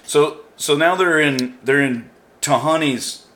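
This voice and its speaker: A man's voice: fairly high pitch, takes it slowly, pushed and bright, slight background noise. A man casually recapping a show with friends, rambling with fillers and restarts. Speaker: show commentator.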